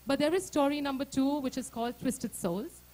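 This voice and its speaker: Female author at a launch event, slightly high, earnest, halting with fillers. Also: darkish tone. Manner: hesitant, filler-heavy, earnest. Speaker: author launching her book. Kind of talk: speech at a book launch.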